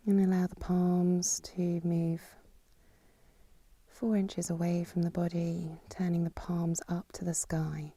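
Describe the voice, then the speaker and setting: Female meditation teacher, lowish soft breathy voice, calm and unhurried, close dry sound. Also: no echo. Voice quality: soft, breathy, half-whispered. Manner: calm, soothing, unhurried with long pauses. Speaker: yoga nidra teacher. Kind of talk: guided meditation, slow spoken instructions.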